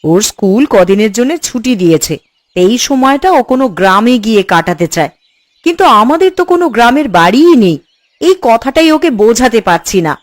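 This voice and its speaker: Woman acting a high-voiced fretful cartoon mother. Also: a little fast, no room sound, close to an everyday voice. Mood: complaining, doubtful. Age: adult. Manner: exasperated, coaxing, expressive. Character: fretful, scolding housewife and doting mother of a boy.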